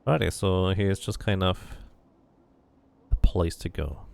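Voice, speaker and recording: A man's voice: low-pitched, measured pace, creaky, a little mellow. A man reading game text aloud and commenting casually as he plays a role-playing game.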